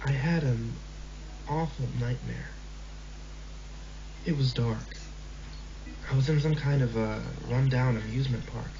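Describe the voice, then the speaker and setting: A man's voice: medium pitch, warm tone, slight background noise. Man narrating a horror story. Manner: measured, slow in tense passages. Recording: slightly dull lo-fi recording with music.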